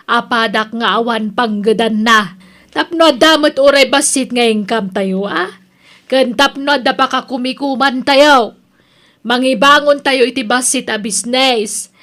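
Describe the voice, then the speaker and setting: A woman's voice: high voice, pushed and bright. A woman with an Ilocano accent playing a bossy, scheming village women's club president in a comedy radio drama.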